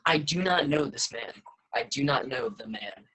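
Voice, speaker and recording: A fearful disciple who insists again and again, read by a man. The voice is bright but a little husky. The reading is set in a church. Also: mid-range voice, expressive, moderate pace. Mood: insistent. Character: fearful young male disciple denying. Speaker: reader of a part.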